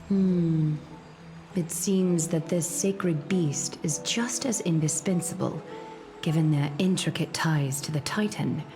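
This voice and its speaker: A woman playing a solemn, composed female leader in a video game, speaking formally and with measured resolve. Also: low voice, music in the background.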